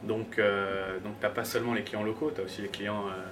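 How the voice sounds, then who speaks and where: A man's voice: fairly low pitch, little pitch movement, fairly quick, a bit bright. Joking Frenchman heard from a distance. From France. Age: 20s. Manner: joking, hesitant with many fillers. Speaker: DJ, interview guest. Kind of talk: casual interview chat. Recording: distant mic, noisy background.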